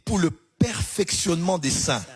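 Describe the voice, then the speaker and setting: A man with a Central African French accent, preaching a sermon in a singsong cadence that climbs into shouts. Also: high voice, expressive, moderate pace, husky, faint music behind.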